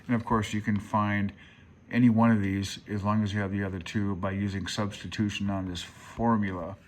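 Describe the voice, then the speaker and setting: A man's voice: on the low side, little pitch movement, normal speed, a little hiss or hum. A man hosting a casual hobbyist vlog, explaining things off the cuff in a rambling, folksy, self-deprecating and unsure way. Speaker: amateur radio hobbyist video host.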